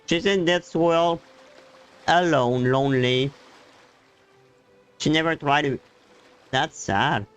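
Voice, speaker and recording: A man giving casual live game commentary as a streamer, explaining things haltingly in non-native English grammar. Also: fairly high pitch, fairly bright tone, clean tone. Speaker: gaming streamer. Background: faint game music.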